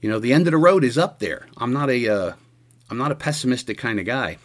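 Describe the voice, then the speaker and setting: Man in his sixties, radio host, earnest reflective monologue, halting delivery, medium pitch. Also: expressive. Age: 60s. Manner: halting, earnest, frequent restarts.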